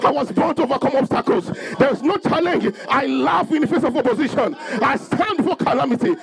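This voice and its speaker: Guy preaching a fired up sermon, really high pitched raspy creaky voice, repeats his lines for emphasis. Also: poor audio. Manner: emphatic, declarative, repeating phrases for effect.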